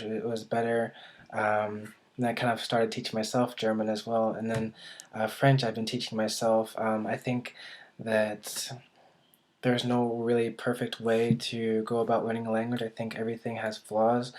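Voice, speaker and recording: A young man from the United States talking casually to camera about learning languages, hesitant and thinking aloud with many restarts. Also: lowish voice. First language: English. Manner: thinking aloud, self-correcting. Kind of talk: casual unscripted talk to camera.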